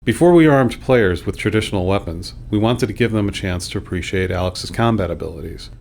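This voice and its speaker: A man with a low voice reads scripted developer commentary. A faint layer of ambient noise sits behind his narration. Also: a little fast, vocal fry, a little mellow. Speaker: game developer.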